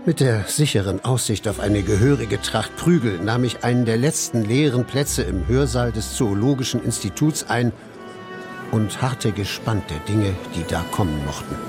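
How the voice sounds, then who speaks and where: A man's voice: lowish voice, normal speed, natural voice. An actor playing a wry first-person male narrator in a radio drama, telling the tale in literary scripted phrasing. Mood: wry, expectant. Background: music.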